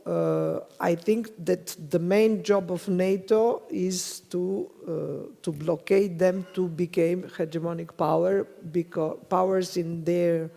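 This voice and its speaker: Slow, hesitant woman with a Serbian accent. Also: lowish voice, steady tone, gravelly, mellow. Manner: hesitant, searching for words, laughs often. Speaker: panelist. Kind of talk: conference panel discussion. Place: conference hall. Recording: reverberant conference hall.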